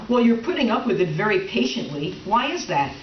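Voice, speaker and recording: A woman's voice: mid-range voice, very animated, a little fast, close to an everyday voice. A woman playing an exasperated, jealous middle-aged wife with dry sarcasm and strained politeness in a comic readers theater play. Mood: jealous, bitter. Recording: echoey, distant, dull, noisy stage recording.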